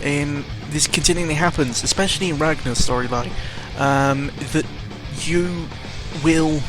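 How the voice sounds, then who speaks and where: A man's voice: fairly high pitch, unhurried, low-quality recording. Ranting young man. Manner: exasperated, ranting, many fillers. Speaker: gaming vlogger. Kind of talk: casual rant about games. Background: video game music.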